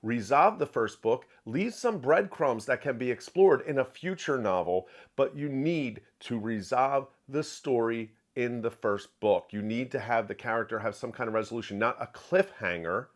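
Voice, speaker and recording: A man's voice: mid-range voice, animated, moderate pace, few pauses. A man giving an opinionated book review, speaking emphatically and repeating phrases for stress.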